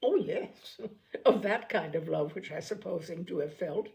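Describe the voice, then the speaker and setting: A woman voicing a sensible, kindly middle-aged aunt in an audiobook, speaking in a calm, reasoning way. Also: on the low side, measured pace, vocal fry. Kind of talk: audiobook character voice.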